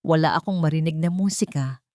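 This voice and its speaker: A man's voice: on the high side, fairly quick, darkish tone, dry recording, studio quality, natural voice. A woman voicing a devoted young man in a romantic story, speaking tenderly and earnestly. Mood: earnest, loving. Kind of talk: romantic audio story dialogue.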